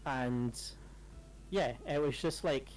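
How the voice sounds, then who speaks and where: A man's voice: mid-range voice, slow, faint music behind, low-quality recording. Rambling man chatting casually. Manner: rambling, many fillers and repeated yeahs. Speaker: streamer. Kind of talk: casual chat over a game stream.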